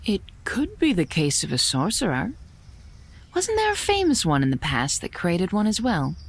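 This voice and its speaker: A woman voicing a shrewd, watchful fox spirit in an audio drama, cool and deliberate, with a probing manner. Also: mid-range voice, wildly swooping pitch, husky, exaggerated voice. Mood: knowing.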